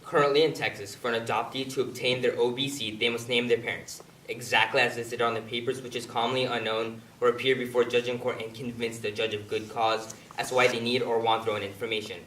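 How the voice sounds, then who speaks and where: A man's voice: medium pitch, flat delivery, quick pace, few pauses, a bit bright. A man delivering scripted, informational narration on stage. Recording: echoey room, distant mic, faint noise.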